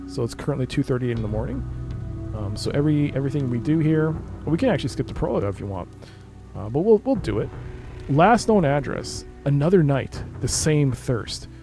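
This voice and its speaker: A man's voice: medium pitch, big pitch swings, normal speed. A man giving casual gaming commentary, explaining things informally and reading on-screen text aloud. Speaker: gaming video creator. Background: game music.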